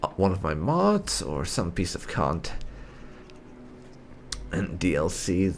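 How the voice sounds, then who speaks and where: A man's voice: deep voice, wildly swooping pitch, slow, slight background noise. A man hosting a gaming video, giving casual let's play commentary in a wry, chatty way.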